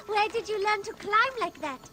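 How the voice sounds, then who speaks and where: A woman's voice: very high voice, full character voice. A woman voicing a carefree, trusting and fearless little Egyptian girl in a video game cutscene. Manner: innocent, playful.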